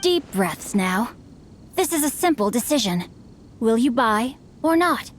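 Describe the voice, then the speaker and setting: A woman's voice: fairly high pitch, sing-song, a little slow, a little hiss or hum. A woman voicing a refined, bookish, slyly teasing noble teenage boy in a video game, with ornate, courtly phrasing. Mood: sly, composed. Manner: ornate, courtly, archaic wording. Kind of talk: English video game voice acting.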